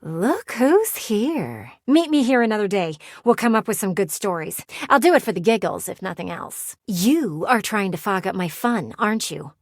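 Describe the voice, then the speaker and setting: A woman's voice: mid-range voice, sing-song, moderate pace, hardly any pauses, dry recording, clean studio sound. A woman voicing a nosy, sassy talking window in a video game, chatty and theatrical like a gossiping neighbor. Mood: playful, excited. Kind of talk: video game character voice acting.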